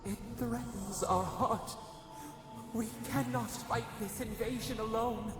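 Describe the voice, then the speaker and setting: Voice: medium pitch, steady tone, slow, gravelly. An actor voicing a solemn, formal otherworldly guardian being in a video game, with an urgent temper. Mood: alarmed, urgent. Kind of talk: video game dramatic dialogue. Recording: distant, echoing hall with loud music.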